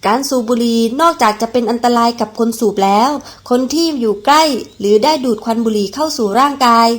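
Woman narrator for a kids' health cartoon, calm and clear, higher voice. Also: fairly quick. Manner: calm, explanatory.

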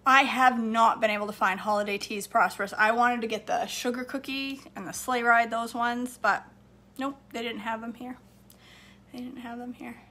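A woman's voice: on the high side, steady tone, pushed and bright, slight background noise. A woman in her forties from Maine, chatting casually and rambling on a livestream from her home kitchen as she answers viewer comments. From Maine, USA. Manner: chatty, rambling, quick to laugh. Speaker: home cook and livestream host. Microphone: phone camera at arm's length.

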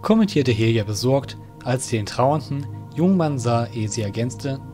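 A man's voice: mid-range voice, animated, normal speed, warm tone, soft background music. A man narrating a scripted fantasy audio drama.

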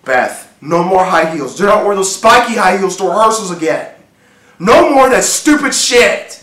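A man's voice: on the high side, unhurried, pushed and bright, distant mic, pitched above the performer's own voice. A man acting a jealous, obsessive, volatile husband in a profane, emphatic, brooding stage monologue. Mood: furious, accusing. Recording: echoey room with faint noise.

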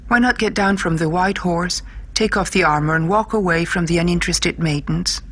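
A woman playing a caring, flirtatious pen-friend, reading a letter gently and intimately for an audiobook, with a husky voice. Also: fairly low pitch, moderate pace, slight background noise, close to an everyday voice. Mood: concerned.

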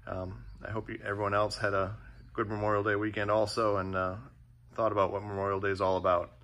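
A man's voice: fairly low pitch, fast talker. Plainspoken man touring his fab shop. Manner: relaxed, plainspoken, frequent "uh" and "um". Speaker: fabrication shop owner. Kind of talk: casual shop walkthrough. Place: large metal fabrication shop, indoors.

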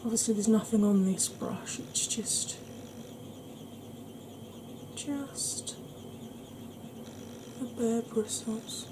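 A middle-aged woman with a mixed Welsh and Yorkshire accent, chatting gently and slowly through a makeup tutorial. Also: on the high side, background noise. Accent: Welsh and Yorkshire mix. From England, raised by Welsh family. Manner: deliberately slow, gentle, chatty. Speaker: beauty YouTuber. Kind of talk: slow soft-spoken makeup tutorial chat.

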